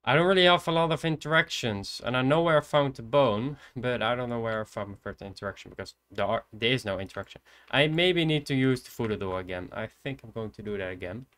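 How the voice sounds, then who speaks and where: An eighteen-year-old man with a Dutch accent, quietly thinking aloud as he streams a horror game from his computer desk. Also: medium pitch, fast, few pauses. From Netherlands. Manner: soft-spoken, hesitant, thinking aloud. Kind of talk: casual gameplay commentary. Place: indoors at a computer.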